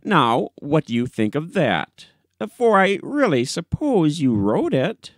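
A man voicing an austere, indignant old gentleman who questions testily in an audiobook story. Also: fairly high pitch, very animated, slow, no room sound, studio quality, voice pushed up, exaggerated voice. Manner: stern, testy questioning.

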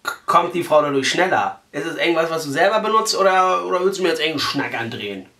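Young guy doing a prank call, kinda high voice, brash n crude, lots of room echo. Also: lively intonation, quick pace, pushed and bright. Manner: brash, crude, mock-earnest, colloquial. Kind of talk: casual prank phone call. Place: small living room, indoors.

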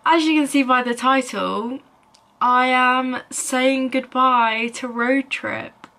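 A young woman chatting casually to camera as a vlogger in a small bedroom, informal and a little halting. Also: high voice, fairly even intonation, gravelly, bright, forceful tone. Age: young adult. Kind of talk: casual sit-down chat to camera.

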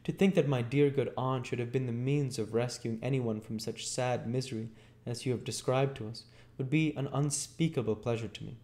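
A man voicing a kindly, genteel young lady in a storybook reading, gentle and gracious in a formal way. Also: medium pitch, voice pushed up, close to an everyday voice.

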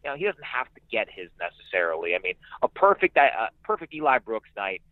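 A man's voice: on the low side, big pitch swings, fairly quick, dry recording. A man giving conversational sports analysis as a radio announcer guest, emphatic and repeating phrases for emphasis. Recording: muffled, band-limited phone-like radio sound.

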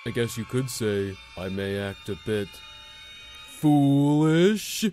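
A man voicing a laid-back, pun-loving cartoon skeleton who teases his brother in a lazy deadpan. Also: medium pitch, slow pace. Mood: lazy, teasing. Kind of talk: comic dub cartoon acting. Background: music.

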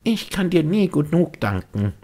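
A man voicing a small, anxious, excitable wooden puppet boy in a children's storybook reading. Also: fairly high pitch, measured pace, voice pushed up. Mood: grateful. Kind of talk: children's audiobook character voice.

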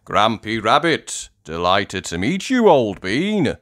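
A man voicing a posh, courteous gentleman stallion in a children's storybook, with polite old-fashioned manners. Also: on the low side, wildly swooping pitch, slow, voice pushed up, exaggerated voice. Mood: gracious. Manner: polite, old-fashioned upper-class address.

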